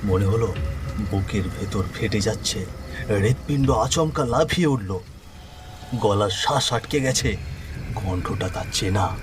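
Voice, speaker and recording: A man's voice: fairly low pitch, a little slow, pauses often, noisy background. A man narrating a scripted horror story in a dramatic, suspenseful manner.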